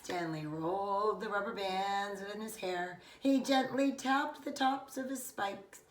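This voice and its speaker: A middle-aged woman reading a picture book aloud like a preschool teacher, expressive and deliberate, stressing key words. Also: mid-range voice, a little hiss or hum. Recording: echoey room, distant microphone.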